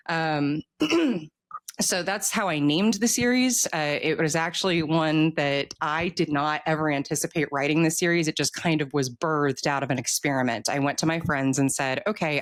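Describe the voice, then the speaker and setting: An American woman in her forties talking casually as an interview guest, telling stories in an animated way. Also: low-pitched, dry recording. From United States. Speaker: author guest, military veteran.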